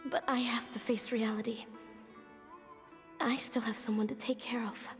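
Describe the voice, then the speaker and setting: A woman's voice: on the high side, flat delivery, moderate pace, natural voice. A woman voicing a tender mother confiding her diary in a video game monologue. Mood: grieving. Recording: long reverb over soft music.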